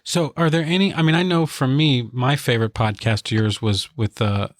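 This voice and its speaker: A man hosting a podcast interview, talking in a halting, hesitant way with many fillers. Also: mid-range voice, steady tone, dry recording, clean studio sound. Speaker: podcast host. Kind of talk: casual interview chat.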